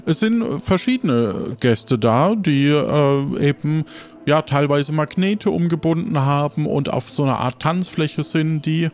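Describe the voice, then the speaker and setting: A man narrating an improvised tabletop game as game master, halting and building scenes in short phrases. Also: on the high side, darkish tone. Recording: music under the voice.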